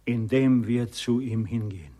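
An actor playing a despairing villager who accuses God, speaking with slow, impassioned rhetoric. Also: male voice, mid-range voice, mellow, natural voice. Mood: bitter.

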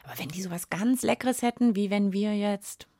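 A woman's voice: medium pitch, brisk, warm tone, no room sound, clean studio sound. A woman hosting a children's science podcast in a chatty, colloquial, casual way. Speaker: podcast host.

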